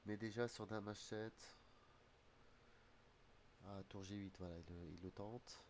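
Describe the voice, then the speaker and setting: A man's voice: lowish voice, steady tone, a little slow, a little mellow, a little hiss or hum. A man with a slight head cold who streams his chess games, muttering his calculations aloud in half-finished phrases. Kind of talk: thinking aloud while playing online chess. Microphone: streaming desk mic.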